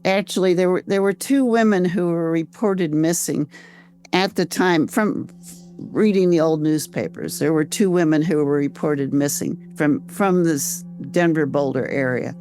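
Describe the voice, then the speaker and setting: An older woman with an American accent, telling her own story conversationally as an interview guest, reflective and halting, repeating phrases as she goes. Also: low-pitched, darkish tone, soft background music. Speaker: interview guest, author and volunteer researcher.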